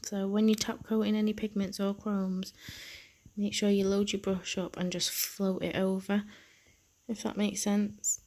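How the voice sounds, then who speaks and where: Soft-spoken croaky woman. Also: medium pitch, level tone, unhurried. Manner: soft, half-whispered narration. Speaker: nail technician. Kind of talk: tutorial voice-over.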